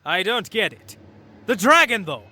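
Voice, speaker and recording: Man playing a bright-voiced earnest Viking. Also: sits high, big pitch swings, measured pace, full character voice. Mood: earnest, determined. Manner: earnest, solemn, literal-minded. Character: earnest, vengeful Viking dragon hunter. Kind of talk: fan-dub game character acting. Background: music.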